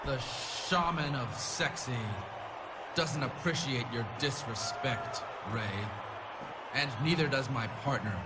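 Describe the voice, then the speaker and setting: A man's voice: mid-range voice, takes it slowly, lightly acted. A man playing a cocky, pompous tag-team wrestler who taunts in a video game cutscene. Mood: taunting. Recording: loud noise behind the voice.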